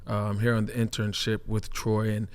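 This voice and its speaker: A man's voice: fairly low pitch, completely flat delivery, moderate pace. A man talking casually as an ex-player turned analyst, making emphatic points and often checking in with "right?". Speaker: former player and coach, football analyst. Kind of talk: casual sports talk.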